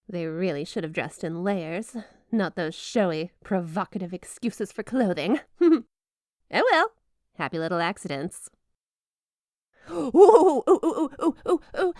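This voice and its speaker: A woman's voice: mid-range voice, no room sound, clean studio sound, close to an everyday voice. A woman playing a flirty, obsessive yandere wife in an ASMR roleplay, gushing and giggly with a coyly menacing sweetness. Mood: sweetly menacing, then excited, giggly. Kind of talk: ASMR roleplay addressed to the listener.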